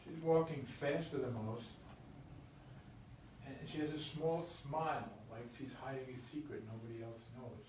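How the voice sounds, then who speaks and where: A man's voice: mid-range voice, fairly even intonation, moderate pace, lightly acted. An actor in a staged play reading, playing an earnest, inquisitive married man who speaks plainly and loves baseball. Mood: thoughtful. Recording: echoey room, distant mic, background noise.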